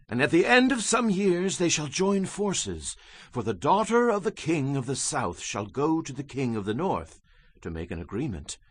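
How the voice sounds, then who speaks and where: A man's voice: medium pitch, a bit bright, no echo. A man giving a solemn, declamatory dramatized reading of scripture as a Bible narrator.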